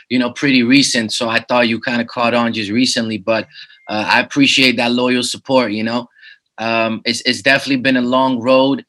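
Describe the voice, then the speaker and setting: A man's voice: medium pitch, bright, forceful tone. A man in his thirties who grew up in the Netherlands, a rapper talking as an interview guest in a laid-back way, full of "you know what I'm saying" fillers. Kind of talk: casual interview.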